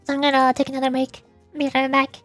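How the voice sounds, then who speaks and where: High-voiced woman streaming a game. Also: monotone, quick pace, a bit bright, dry recording, soft background music. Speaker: streamer, VTuber. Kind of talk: casual livestream chat while gaming.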